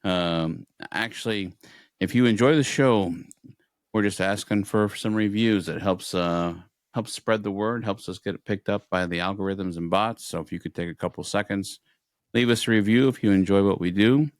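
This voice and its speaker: A man with a slightly low voice hosts a casual podcast. He moves between loose chat and playfully formal announcements. The recording is very dry and close. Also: moderate pace, dry recording. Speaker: podcast host.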